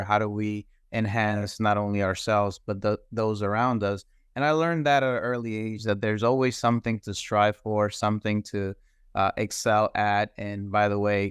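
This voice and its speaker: A man from the Dominican Republic speaks as an interview guest, his voice smooth and resonant, a little low in pitch. He reflects on his path in a measured, earnest way and pauses often to gather his thoughts. The sound is dry and close. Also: no echo. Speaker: guest, cybersecurity executive. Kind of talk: reflective personal storytelling.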